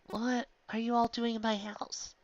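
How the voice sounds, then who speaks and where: A woman's voice: on the high side, big pitch swings, fast, pushed and bright, voice pushed up. A woman voicing an anxious, bookish young female unicorn who pleads in a frantic, breathless way, in a dull lo-fi recording. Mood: panicked.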